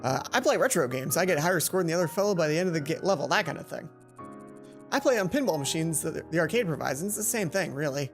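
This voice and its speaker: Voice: mid-range voice, animated, fast talker, faint music behind, lightly acted. A let's play host voicing a matter-of-fact schoolboy in a gaming club, explaining things earnestly, from a visual novel.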